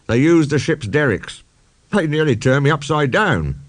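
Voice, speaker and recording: A man's voice: fairly high pitch, very animated, moderate pace, dry recording, voice pushed up. A man voicing a proud, indignant old male steam engine who tells grumbling, emphatic stories in a children's audiobook.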